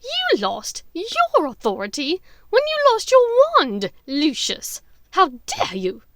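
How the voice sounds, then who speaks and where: A woman voicing a maniacal, imperious dark witch in an audiobook, theatrical, contemptuous and explosive. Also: sits high, wildly swooping pitch, slow, dry recording, studio quality, pitched above the performer's own voice, exaggerated voice. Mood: sneering fury. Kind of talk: dramatic audiobook character voice.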